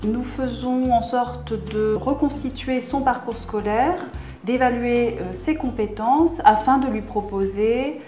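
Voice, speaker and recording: A woman's voice: a little high, takes it slowly, mellow, soft background music. A woman education official giving a formal explanation in an interview, recorded in an echoey room. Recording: echoey room with faint noise.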